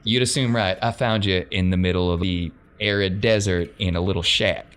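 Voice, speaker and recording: A man's voice: low voice, steady tone, moderate pace, a bit bright. A man playing a confident crime boss in a tabletop game, with folksy phrasing. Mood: smug, commanding. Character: confident male crime boss making a deal. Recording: noticeable background noise.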